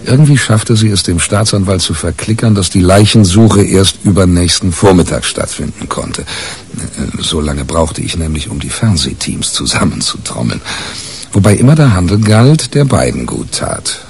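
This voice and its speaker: An actor playing a wry, wary tabloid reporter in a German radio crime drama, colloquial and sardonic. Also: male voice, low-pitched, lively intonation, normal speed, a little mellow. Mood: wry narrating. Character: wry, wary, deal-making tabloid newspaper reporter, male. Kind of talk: 1980s German radio crime drama, dialogue and first-person narration.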